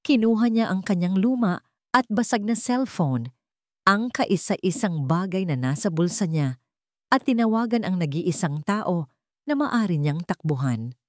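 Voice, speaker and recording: A woman's voice: medium pitch, expressive, measured pace, no room sound, studio quality. A woman with a Filipino accent narrating a scripted romantic drama as a storyteller.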